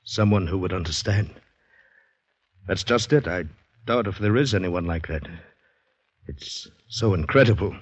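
A man's voice: sits low, unhurried, long pauses, husky. A man playing an anxious young soldier recovering from shell shock, hesitant and polite, in 1940s radio drama style. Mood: uneasy. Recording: dull, roomy old radio recording.

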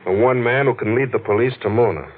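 A man's voice: medium pitch, fast talker, close to an everyday voice. A man acting a wry, skeptical, streetwise investigator in a 1940s radio mystery, with clipped, slangy tough-guy delivery. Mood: brisk, puzzled. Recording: noisy, narrow-band old radio recording.

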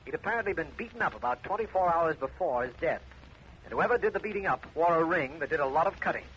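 A bright, rapid-fire district attorney. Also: male voice, a little high, lively intonation. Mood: urgent. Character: brisk, businesslike male district attorney. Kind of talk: 1950s radio drama acting. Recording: muffled old radio recording, faint noise.

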